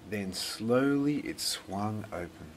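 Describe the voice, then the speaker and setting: Low-voiced man reading slowly and very expressively. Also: expressive, slight background noise. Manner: dramatic, deliberate delivery. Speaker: storybook reader. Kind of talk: expressive scripted children's story narration.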